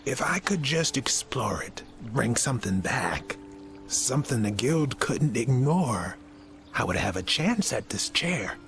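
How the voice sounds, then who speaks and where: An actor playing a weary, bitter middle-aged academic mentor with a gravelly, hesitant delivery in a video game. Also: male voice, medium pitch, very animated, measured pace, a bit bright. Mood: hopeful, earnest. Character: weary, bitter, bookish male scholar and former mentor. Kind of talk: video game cutscene acting. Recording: music under the voice.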